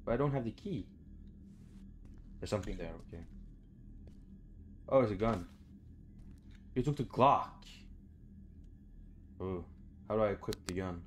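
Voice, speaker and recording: Low-voiced young man playing games. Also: expressive, a little slow, pauses often, slight background noise. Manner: sweary, muttering to himself. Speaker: gaming video host. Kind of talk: casual let's play commentary. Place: small room indoors at home.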